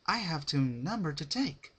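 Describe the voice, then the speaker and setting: A man voicing an excitable, scheming female party pony in a dramatic fan fiction reading. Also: a little high, moderate pace, breathy edge, pitched above the performer's own voice, full character voice. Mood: sly excitement.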